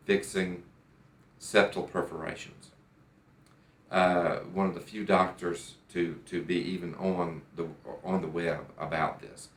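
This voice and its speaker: A very low-voiced American man gives a patient's testimonial in a deliberate, careful way and often circles back to restart a thought. He is recorded in a doctor's office, and the room sounds noticeably echoey. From United States. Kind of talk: personal testimonial.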